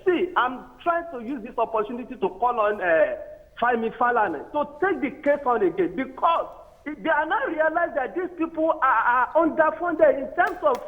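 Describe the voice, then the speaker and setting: A Nigerian man calling in to a talk show, speaking haltingly and pleadingly with long fillers. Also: very high-pitched, lively intonation. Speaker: phone-in caller. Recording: tinny phone line with long reverb.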